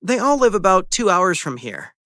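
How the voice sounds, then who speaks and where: A man's voice: sits high, very animated, moderate pace, bright, forceful tone, dry recording, clean studio sound, exaggerated voice. A man playing a friendly young student. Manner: easygoing, asks friendly questions. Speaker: student in a dialogue. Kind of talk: scripted conversation for listening practice.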